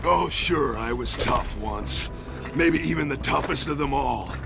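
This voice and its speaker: A man's voice: mid-range voice, animated, a little slow, vocal fry. A man voicing a cowardly, self-pitying male village warrior in a video game, talking in a pompous, theatrical, whining way. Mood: melodramatic. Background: game music.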